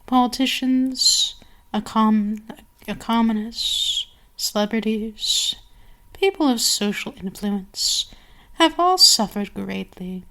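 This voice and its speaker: A woman voicing a seductive, domineering vampire queen in an ASMR roleplay, speaking deliberately with savoring pauses to an enslaved servant. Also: on the high side, a bit bright, rough recording. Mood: commanding, deliberate. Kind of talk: ASMR roleplay, scripted monologue.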